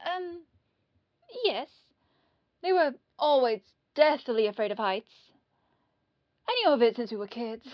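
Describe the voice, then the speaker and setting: Woman acting a high, fluttery yandere feigning innocence. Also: expressive, a little slow, pauses often, lo-fi sound. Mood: feigned innocence. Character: clingy rich young woman, obsessive yandere feigning innocence. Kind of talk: scripted audio roleplay.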